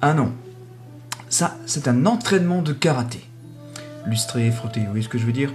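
A man's voice: medium pitch, animated, normal speed, a little mellow, soft background music. A man playing out a comic phone conversation in a casual, hesitant, rambling way. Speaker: radio show host. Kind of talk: comedy sketch phone conversation.

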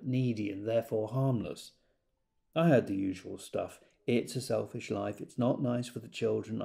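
A man's voice: medium pitch, vocal fry, warm tone. An actor playing a wry, gossipy man telling anecdotes in an audio drama monologue. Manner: wry, storytelling.

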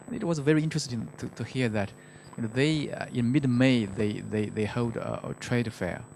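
A middle-aged man speaking as an economist on a panel, hesitant and halting, often repeating words into a handheld microphone. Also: mid-range voice, creaky, a little mellow. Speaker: macroeconomist, conference panelist. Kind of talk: panel discussion, unscripted explanation. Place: conference stage, indoors. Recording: faintly noisy conference stage microphone.

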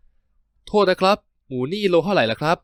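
A man's voice: high-pitched, animated, moderate pace, a bit bright, no room sound, studio quality, pitched above the performer's own voice. A man voicing a small, polite young single father who speaks meekly and gets easily flustered.

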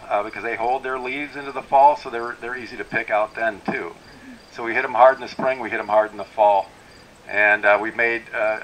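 Middle-aged tree farm owner, handheld mic in the woods; easygoing, full of "uh"s and restarts. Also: male voice, on the low side, a bit bright, poor audio. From Wisconsin. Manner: unhurried, plainspoken, many fillers and restarts. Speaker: tree farm owner giving a welcome talk. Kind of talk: informal outdoor talk to a tour group. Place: outdoors in a forest clearing. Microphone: handheld microphone.

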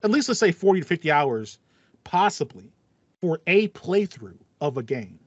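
A man's voice: on the high side, sing-song, moderate pace, husky, dry recording. A man in his thirties chatting casually and enthusiastically as a podcast co-host, explaining things in a rambling way. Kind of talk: casual chat about video game music. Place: small home room with foam panels, indoors. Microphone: headset on a video call. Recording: slightly muffled, dry online call audio.

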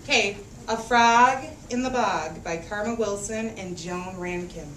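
Woman reading a storybook in an echoey room. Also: mid-range voice. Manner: expressive, rhythmic reading with drawn-out phrases and questions to children. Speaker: teacher reading a picture book to young children. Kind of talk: interactive storybook read-aloud. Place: echoey room with a group of children. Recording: echoey room, distant mic, faint noise.

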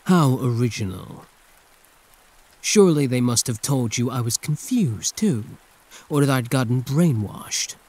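A man's voice: medium pitch, a little mellow, husky. A man playing a condescending yet protective hero turned villain in an intimate, theatrical ASMR roleplay monologue. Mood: mocking, smug.

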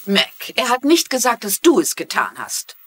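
A woman's voice: on the high side, huge pitch swings, moderate pace, breathy edge, heavily acted. An actress playing a level-headed middle-aged mystery writer in a dubbed TV drama, probing and reasoning as she talks. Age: middle-aged or older.

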